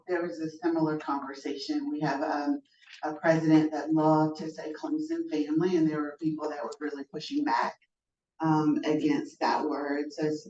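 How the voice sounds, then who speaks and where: A woman's voice: deep voice, warm tone. A woman facilitating a workshop, guiding tables through priorities in a friendly, organized way. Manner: friendly, organized, talks to the room. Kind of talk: leading a group discussion. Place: large conference room. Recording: echoey, distant and dull room recording.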